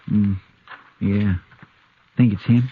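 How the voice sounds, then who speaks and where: An actor playing a loyal, deferential, rustic deputy sidekick in a 1950s western radio drama. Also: male voice, on the low side, steady tone, slow. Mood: watchful. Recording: dull, noisy old radio recording.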